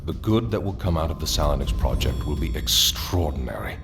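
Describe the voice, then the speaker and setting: A commanding billionaire visionary in an audio drama. The voice is very low and deliberate, always persuading with measured authority. Music plays clearly behind the speech. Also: female voice, lively intonation. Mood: composed, assured. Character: commanding, persuasive visionary billionaire plotting a risky secret mission.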